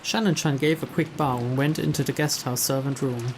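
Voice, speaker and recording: Male narrator, medium pitch, measured visual novel read-aloud, small stumbles, faint rain behind. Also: steady tone, slight background noise. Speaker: narrator, game playthrough host.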